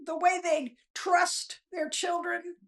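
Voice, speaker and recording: A woman's voice: very high voice, little pitch movement, slow, vocal fry, a bit bright. A woman chatting casually as an interview guest, rambling through stories in a folksy, self-deprecating way. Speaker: author being interviewed, guest. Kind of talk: casual, rambling storytelling chat.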